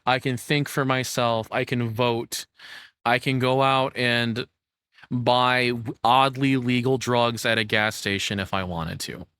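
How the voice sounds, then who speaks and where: A man's voice: medium pitch, bright, forceful tone, smooth, no room sound. A man giving casual, off-the-cuff reaction commentary in a rambling, conversational, sardonic way. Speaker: reaction video host.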